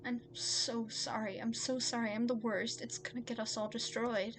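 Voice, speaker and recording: A woman voicing a nervous, lovesick man in an audio story, with a halting, stammering, anxious way of talking. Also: on the high side, little pitch movement, background noise, close to an everyday voice. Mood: distraught, apologetic.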